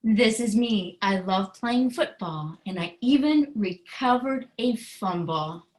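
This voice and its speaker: Woman author doing a kids book read aloud, slow and deliberate, earnest, mid pitch. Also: animated, darkish tone. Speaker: children's book author reading her book. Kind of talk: storybook read-aloud and explanation.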